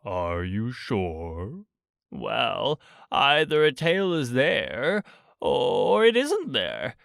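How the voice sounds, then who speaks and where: A man's voice: mid-range voice, huge pitch swings, gravelly, fairly bright tone, dry recording, studio quality, exaggerated voice. A man voicing a gloomy, mournful donkey in a storybook, drawing out his words in a despondent way. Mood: gloomy, resigned. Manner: drawn-out, despondent, sighing delivery. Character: gloomy, slow, mournful male old donkey.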